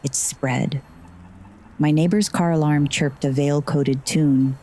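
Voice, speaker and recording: A woman's voice: low-pitched, fairly even intonation, slow pace, mellow, a little hiss or hum. A woman playing a sardonic, frazzled heroine who narrates her magical adventure in first person in an audio drama. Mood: tense, atmospheric. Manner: wry, sarcastic asides.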